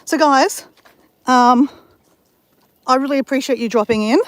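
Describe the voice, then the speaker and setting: A woman's voice: sits high, measured pace, no room sound. Australian woman, chatty vlogger, rambling, outdoors on a walk, phone mic. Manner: chatty, rambling with fillers and restarts. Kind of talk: casual walking vlog chat.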